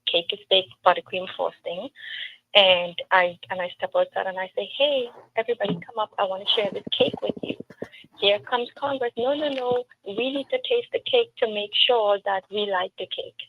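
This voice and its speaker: A woman's voice: mid-range voice, steady tone, normal speed. A woman with a Trinidadian accent, speaking earnestly and emphatically as a political candidate in an interview. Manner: earnest, emphatic, with storytelling asides. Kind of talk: interview answers. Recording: tinny phone-like line with faint noise.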